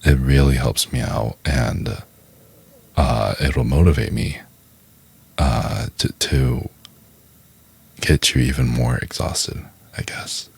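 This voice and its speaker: Very deep, gravelly, soft-spoken man. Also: fairly even intonation, slow, pauses often. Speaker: ASMR video creator. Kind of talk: casual ASMR outro to viewers.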